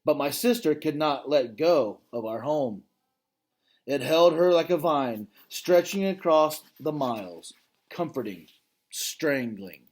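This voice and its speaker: A man, a father, reading a children's novel aloud and pausing to chat with his listeners about the story. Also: on the high side. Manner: expressive reading, breaks off to comment directly to listeners.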